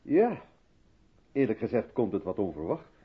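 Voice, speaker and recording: A man's voice: lowish voice, sing-song, normal speed, breathy edge, full character voice. An actor playing a polite, reserved South African man in a 1970s Dutch radio play, speaking with attentive restraint. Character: courteous, reserved South African man living in modest room. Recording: dull vintage radio recording, music behind.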